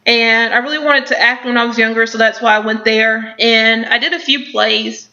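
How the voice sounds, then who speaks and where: Young woman with a stutter, gravelly. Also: fairly high pitch, fairly even intonation, normal speed, sharp tone, lo-fi sound. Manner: stutters with repetitions and blocks, many ums, thoughtful. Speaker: person who stutters answering questions. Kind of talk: self-interview, reading questions and answering.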